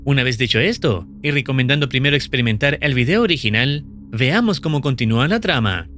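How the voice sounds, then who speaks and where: A man narrating in a steady, measured scripted voice-over, explaining the plot of a horror video series. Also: medium pitch. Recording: voice-over with faint music behind.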